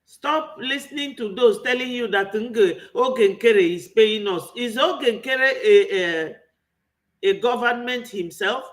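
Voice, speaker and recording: A man's voice: very high voice, takes it slowly. A man with a Nigerian accent giving an impassioned political commentary, full of rhetorical questions. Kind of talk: online political commentary monologue.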